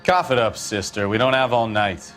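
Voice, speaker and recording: An actor playing a blunt, sardonic cop, the wisecracking partner in a crime drama game. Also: male voice, fairly low pitch, fairly even intonation, normal speed, fairly bright tone, soft background music, close to an everyday voice. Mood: sardonic, impatient.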